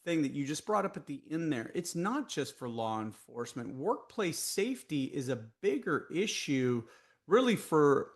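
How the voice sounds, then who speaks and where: A man's voice: a little high, very animated, moderate pace. A man with a Texas accent hosting a podcast interview, asking long conversational questions. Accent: Texan. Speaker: podcast host.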